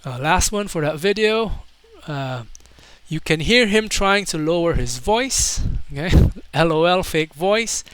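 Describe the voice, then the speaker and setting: A man's voice: a little high, animated, measured pace, a bit bright, slight background noise. A man doing casual video commentary, reading out online comments in an amused, mocking way.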